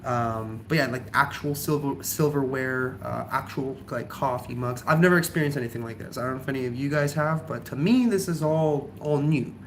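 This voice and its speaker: A young man talks in a loose, rambling vlog style, full of ums and likes, his voice a little nasal from a cold. His pitch sits in an ordinary middle range. A faint hiss of background noise runs under the recording. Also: normal speed, hardly any pauses, fairly bright tone. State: sick, slightly nasal from a cold. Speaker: travel vlogger. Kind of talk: casual vlog chat.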